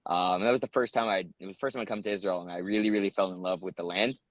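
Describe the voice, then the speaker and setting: A man's voice: deep voice, fairly even intonation, very fast, no room sound, highs cut off. A young American man answering interview questions in an earnest, rambling way, with many ums and uhs. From United States. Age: young adult. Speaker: interviewee, soldier.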